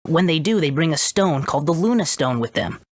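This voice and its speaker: Lively, confident boy genius. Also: low-pitched, brisk, a little hiss or hum. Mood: explanatory. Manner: self-assured, matter-of-fact, bossy. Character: confident brainy boy genius, head researcher. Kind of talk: video game voice acting.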